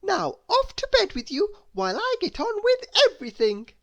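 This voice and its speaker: A woman's voice: extremely high pitch, huge pitch swings, normal speed, a bit bright, pitched above the performer's own voice, exaggerated voice. A woman voicing an eager, overbearing young female cartoon helper in a children's storybook, chirpy and insistent. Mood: bossy, cheery.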